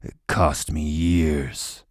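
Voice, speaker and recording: A man voicing a huge, cold killer of a father in an audiobook, speaking slowly and low. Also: steady tone, no room sound, studio quality, heavily acted. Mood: cold menacing. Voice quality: deep gravelly whispery voice. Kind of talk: audiobook character voice.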